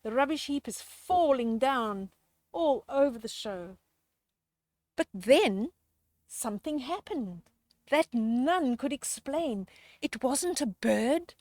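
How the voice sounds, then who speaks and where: A woman storyteller reading a children's picture book aloud with expressive, drawn-out emphasis and chatty questions to young listeners. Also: high voice, no room sound.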